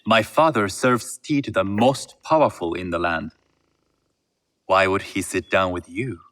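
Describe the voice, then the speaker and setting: An actor playing a shrewd, composed merchant heir in a game set in feudal Japan, speaking in a measured, formal way. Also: male voice, low voice, fairly bright tone. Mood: measured, businesslike. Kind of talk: video game cutscene acting.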